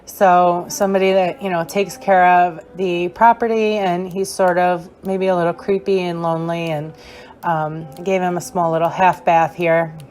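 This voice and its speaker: Chatty young woman with a hoarse voice. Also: fairly low pitch, little pitch movement, normal speed, a little mellow. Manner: chatty and rambling, with many fillers. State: hoarse, losing her voice. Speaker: gaming creator. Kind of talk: casual gameplay voice-over tour.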